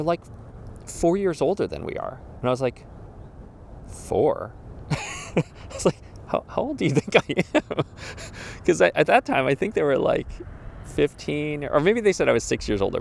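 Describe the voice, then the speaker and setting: A man's voice: medium pitch, vocal fry, a little mellow, a little hiss or hum. A man in his forties musing casually about getting older, rambling with restarts and quoted asides. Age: 40s. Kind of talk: casual reflective monologue.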